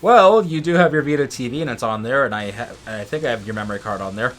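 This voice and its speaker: A man's voice: mid-range voice, animated, quick pace, fairly bright tone. A man co-commentating a let's play in casual chat, with a geeky, opinionated and casually profane way of talking. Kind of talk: casual chat over gameplay. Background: game music.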